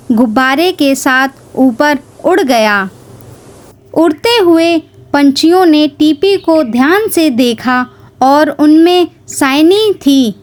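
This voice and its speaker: A woman narrating a scripted children's story. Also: high-pitched.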